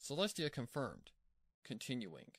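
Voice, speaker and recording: A man narrates a story from a script in a medium-pitched voice. The recording is dry, with very little room sound. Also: wildly swooping pitch, unhurried, fairly bright tone, no echo. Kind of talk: scripted fan-fiction narration.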